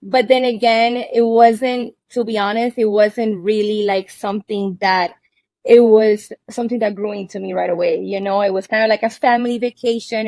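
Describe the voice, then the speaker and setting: A woman's voice: medium pitch, darkish tone. A woman from Cuba with a mid-range voice, talking casually as an interview guest from inside a cabin. She laughs easily and restarts sentences as she goes. The sound is dry and close, with little room echo. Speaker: guest, cabin rental owner. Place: inside a cabin under renovation.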